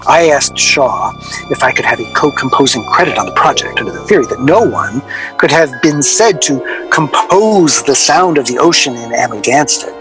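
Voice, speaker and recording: An actor plays a man looking back on a soured collaboration in a naturalistic radio drama. His mid-pitched voice is reflective and measured, with a wistful, aggrieved undertone. Music plays clearly behind him. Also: animated, natural voice, low-quality recording. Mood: wry, aggrieved. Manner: reflective, measured, rhetorical questioning. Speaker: interview guest. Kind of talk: naturalistic radio drama monologue, reminiscing as in an interview.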